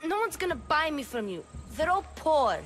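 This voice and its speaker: A woman's voice: sits high, sing-song, normal speed, fairly bright tone, a little hiss or hum. An actress playing a feisty, defiant little kidnapped girl in a video game, with a plucky, childlike manner. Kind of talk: video game character acting.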